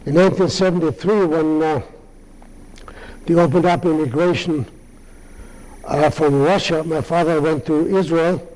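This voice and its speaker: Older man, halting speech, mellow tone, fairly high voice. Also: steady tone, low-quality recording. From Lithuania. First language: Yiddish. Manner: halting, many pauses and restarts. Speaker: Holocaust survivor speaker. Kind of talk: answering audience questions.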